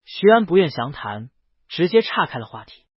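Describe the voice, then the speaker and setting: A man with a fairly high, husky voice narrates a romance audiobook. His pitch moves around in a lively way as he tells the story. The recording is dry, close and slightly dull. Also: normal speed, dry recording. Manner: reads character dialogue within the narration. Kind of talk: scripted romance novel narration.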